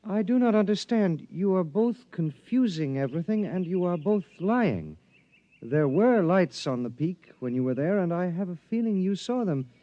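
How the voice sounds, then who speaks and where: A man's voice: high voice, sing-song, normal speed, no room sound. An actor playing a prickly, earnest young man who speaks stiffly and formally in an old-time radio drama. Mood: suspicious, accusing. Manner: stiff, formal speech without contractions. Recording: dull, muffled old radio recording.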